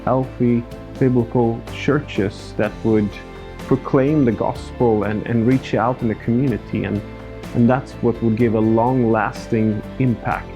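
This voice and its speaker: A man's voice: mid-range voice, steady tone, unhurried, mellow, faint music behind. A Swedish man in his thirties with a Swedish accent and native Swedish, a pastor speaking earnestly in a personal documentary interview. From Sweden, countryside. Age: 30s. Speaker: pastor and church planter.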